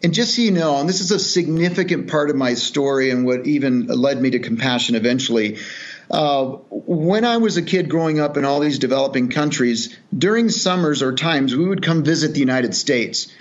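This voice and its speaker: A middle-aged man from El Salvador tells his story earnestly and reflectively, pausing on fillers and restarting his sentences. His voice sits at an ordinary pitch for a man. The sound is a little dull and lo-fi. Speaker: podcast guest, charity executive. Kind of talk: relaxed storytelling interview.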